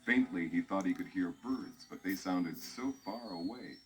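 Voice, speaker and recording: Male storybook narrator, very deep, measured, music under.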